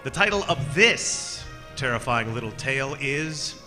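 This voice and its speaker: A man hosting a radio theater show, giving a deliberate, theatrical scripted introduction in old-time mystery style. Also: mid-range voice, animated, sharp tone, soft background music.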